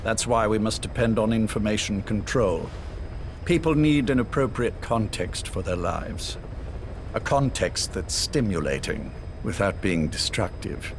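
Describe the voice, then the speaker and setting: A man playing a cold, visionary older spymaster in a dramatic video game, speaking in a measured, formal, lecturing way. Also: fairly low pitch, expressive, background noise. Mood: visionary, assured. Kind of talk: scripted dramatic game dialogue.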